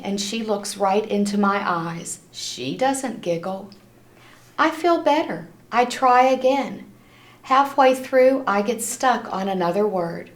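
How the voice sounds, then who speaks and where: Woman, children's storybook read-aloud, expressive, medium pitch, noticeable room echo. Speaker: storybook reader. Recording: echoey room.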